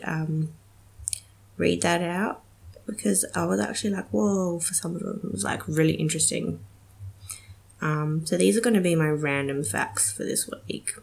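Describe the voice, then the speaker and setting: A woman's voice: lowish voice, vocal fry, husky. A young woman hosting a casual solo podcast, chatty and giggly with many ums, sounding slightly nasal from a cold. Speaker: podcast host.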